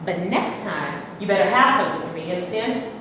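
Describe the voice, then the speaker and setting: A woman's voice: mid-range voice, expressive, quick pace, natural voice. Menacing street teen played by a woman, very echoey. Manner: taunting, slangy. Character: bullying male street teenager, about eighteen, menacing. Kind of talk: staged play reading. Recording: very echoey hall, distant mic, clear noise.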